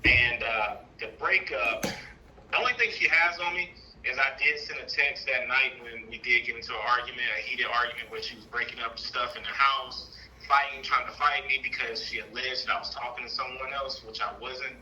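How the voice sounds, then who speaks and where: A man calling in to tell his personal story in a casual, colloquial, halting and roundabout way. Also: mid-range voice, sharp tone, a little hiss or hum, low-quality recording. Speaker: caller, trucking business owner.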